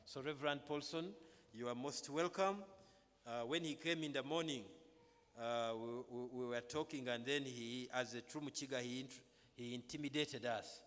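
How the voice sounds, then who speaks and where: A man's voice: medium pitch, moderate pace, fairly bright tone. Ugandan clergyman in a reverberant hall. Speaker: clergyman leading a church service. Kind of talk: church announcements and welcome to a congregation. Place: large brick-walled chapel, indoors. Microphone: microphone on stand at pulpit, through face mask. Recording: reverberant hall through PA microphone.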